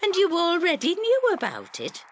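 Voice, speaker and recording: A high, shrill actress-played woman neighbour, bossy and excitable in an audio tale. She snaps and frets indignantly, her pitch leaping about, with clear background hiss. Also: moderate pace, full character voice. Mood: snappy, indignant. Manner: snappy, indignant, fretful. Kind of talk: audio drama character voice.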